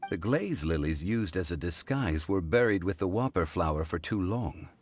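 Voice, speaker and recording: An actor playing a refined, knowledgeable, composed gentleman in a video game, speaking in a formal, measured, explanatory way. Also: low-pitched, expressive. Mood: pensive. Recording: music behind the voice.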